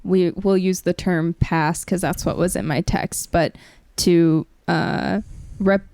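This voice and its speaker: A woman's voice: lowish voice, steady tone, slow pace, a little mellow, no echo. A young woman hosting a podcast, talking through ideas in a casual, tentative and reflective way. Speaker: podcast host. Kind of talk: thoughtful discussion, casual.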